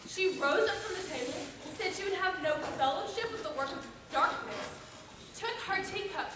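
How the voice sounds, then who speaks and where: A woman plays a prim sister-in-law testifying on stage, with a very high, precise voice. She sounds formal and indignant, far off in an echoing room. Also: lively intonation, moderate pace. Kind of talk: stage play acting. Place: auditorium stage, recorded from far off. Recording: echoey stage, distant, clear hiss.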